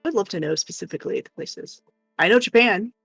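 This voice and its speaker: A woman's voice: medium pitch, very animated, fairly quick, fairly bright tone, husky, no room sound, faint music behind. An American woman co-hosting a livestream, chatting casually with viewers. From United States. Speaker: livestream co-host.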